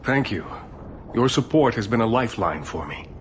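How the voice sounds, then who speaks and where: Some ambient noise surrounds a weary leader of mages in a fantasy game. His lowish voice is measured and formal, the words chosen with caution. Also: sing-song. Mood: grave, measured. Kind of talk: dramatic video game acting.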